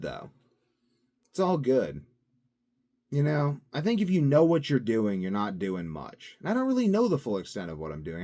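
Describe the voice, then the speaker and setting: A man with a somewhat low voice that swings widely up and down as he talks. He rambles casually to camera in his own daily video, musing aloud, drifting between thoughts and leaning on fillers. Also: mellow. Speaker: vlogger.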